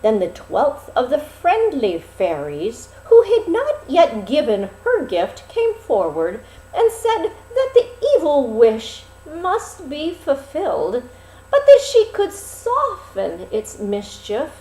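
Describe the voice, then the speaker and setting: A woman narrating a fairy tale expressively, with dramatic, deliberate phrasing and pauses. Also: high-pitched, wildly swooping pitch, dark tone. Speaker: storyteller. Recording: roomy, echoing sound.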